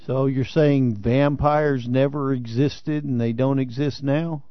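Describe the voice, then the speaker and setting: A man's voice: mid-range voice, fairly even intonation, slow pace, no room sound, dull audio. Joking male radio co-host. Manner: joking, mock-suspicious. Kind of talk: morning radio banter.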